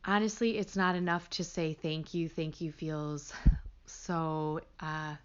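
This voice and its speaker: A woman leading a guided meditation as a teacher, gentle and hushed, with pauses between short phrases. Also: deep voice, flat delivery, smooth, muffled recording.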